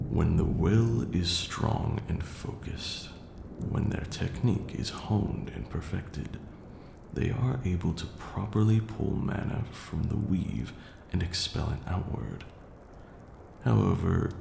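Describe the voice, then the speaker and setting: A haughty, patient vampire lord, acted by a man for an ASMR roleplay, lectures like a pompous tutor of magic. The voice is deep and slow, with long deliberate pauses. It comes through with a clear background noise. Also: heavily acted. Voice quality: low, gravelly. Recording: roomy echo, audible background hiss.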